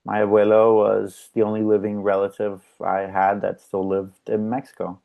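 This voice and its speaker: A woman's voice: a bass voice, monotone, moderate pace, vocal fry, narrow, tinny audio. An actress plays a woman on a date who is curious and direct. She speaks casually and probes with her questions, in the conversational style of a drama table read. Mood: reluctant, wistful. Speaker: actor at a live script read.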